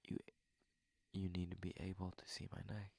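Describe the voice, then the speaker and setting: Man playing a low, breathy, whispering shy prince. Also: monotone, a little fast, no echo. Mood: hesitant, nervous. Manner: gentle, polite, hesitant, formal phrasing. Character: courteous, shy, flustered young prince at a masquerade. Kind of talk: intimate ASMR roleplay, addressing the listener.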